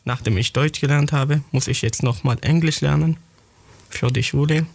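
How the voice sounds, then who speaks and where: A young man from Syria speaks German. He pauses and restarts while he hunts for words, and his voice has a gravelly edge. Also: on the high side. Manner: halting, searching for words, restarts. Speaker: young refugee writer, interviewee. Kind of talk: thoughtful interview answers in learner German.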